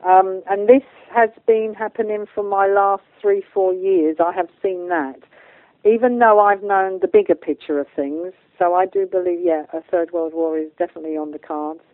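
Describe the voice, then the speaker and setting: A woman's voice: medium pitch, fairly even intonation, moderate pace, no echo. A British woman talking as a guest medium in a radio interview, stating things bluntly and with emphasis. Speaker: guest, spiritual medium and channeller. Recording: muffled phone-like line.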